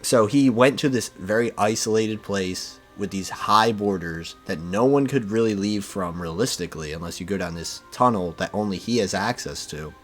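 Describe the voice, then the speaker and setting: Low voiced guy chatting like a fan on a podcast, says like and you know a lot, music behind. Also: normal speed, hardly any pauses. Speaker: podcast speaker discussing manga. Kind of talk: casual fan discussion.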